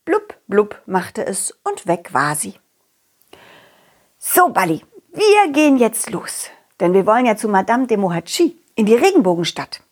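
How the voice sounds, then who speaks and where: A woman storyteller narrating a children's tale in an expressive, gentle way made for young listeners. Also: medium pitch, unhurried.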